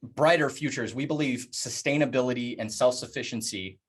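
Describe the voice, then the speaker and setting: A man presenting plans in a public address, speaking earnestly in deliberate phrases with emphatic pauses. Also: medium pitch, breathy edge. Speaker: organization leader presenting plans.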